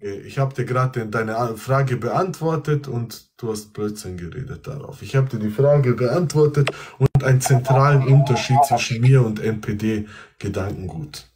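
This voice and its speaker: A man's voice: medium pitch, a little slow, hardly any pauses, a little mellow. A middle-aged man hosting a livestream from a room at home, debating callers bluntly and swearing at them. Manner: blunt, cutting, swears at opponents. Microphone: phone front camera at arm's length.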